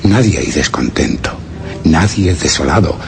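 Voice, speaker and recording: A man's voice: a bass voice, expressive, measured pace, creaky, over music, full character voice. An actor playing a solemn, wondering explorer who narrates his journal in a radio drama, grave and theatrical. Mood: pondering, awed. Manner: grave, literary, theatrical, with rhetorical questions. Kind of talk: Spanish radio drama suspense monologue.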